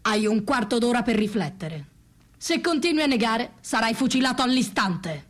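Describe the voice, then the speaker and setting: An actress playing a stern, menacing interrogator in a radio drama, curt and commanding. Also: female voice, a little high, very animated, normal speed. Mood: cold, threatening.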